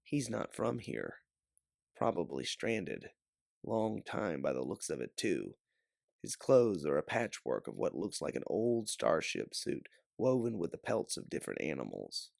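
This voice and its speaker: A man narrating a science-fiction adventure story as an audiobook reader, with theatrical emphasis and a measured, dramatic delivery. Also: sits low, big pitch swings, gravelly, darkish tone, no echo, studio quality. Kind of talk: dramatic second-person sci-fi story narration.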